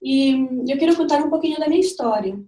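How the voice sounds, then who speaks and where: A Colombian woman speaking Portuguese with a Spanish accent, giving a reflective, personal talk with frequent pauses and restarts. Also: high-pitched, expressive, warm tone. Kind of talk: lecture-style talk. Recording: distant mic in an echoey room.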